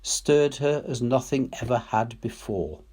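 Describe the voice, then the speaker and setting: A man reading literary fiction aloud as a storyteller, in a measured, solemn manner, phrase by phrase. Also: medium pitch, a little mellow, breathy edge. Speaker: story reader, teacher.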